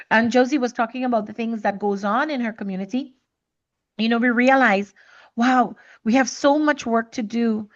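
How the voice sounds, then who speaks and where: A woman's voice: on the high side, highs cut off. A woman from Trinidad and Tobago speaking reflectively and conversationally as a podcast host, often repeating words as she searches for phrasing.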